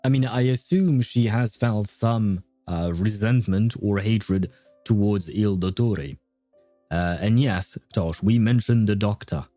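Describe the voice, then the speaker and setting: Guy streaming a game, soft dark voice, chatty n rambly, thinks out loud, really dry mic. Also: on the low side, takes it slowly, no room sound, faint music behind. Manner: rambling, jokey, thinking aloud. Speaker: livestreamer. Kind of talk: casual livestream game commentary.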